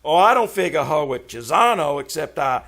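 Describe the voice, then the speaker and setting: High-pitched American small-time tough. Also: male voice, sing-song, fairly quick, fairly bright tone. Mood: aggrieved, gossipy. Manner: slangy, blunt. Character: rough, slangy, none-too-bright male small-time tough. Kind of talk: 1940s radio crime drama acting.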